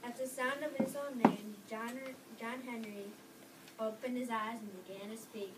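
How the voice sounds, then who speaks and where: A woman's voice: a little high, steady tone, normal speed. A woman narrating a folk story from a script as part of a reader's theater. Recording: distant mic with loud background noise.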